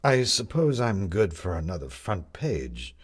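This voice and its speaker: A man's voice: deep voice, very animated, a little slow, slightly rough, heavily acted. A man voicing a bitter, proud, talkative male con artist in an audiobook, with emphatic, rhetorical delivery. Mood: weary, defensive.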